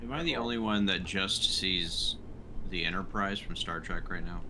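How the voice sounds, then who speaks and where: A man's voice: sits low, normal speed, noisy background. A man chatting casually as a player in a tabletop roleplaying game.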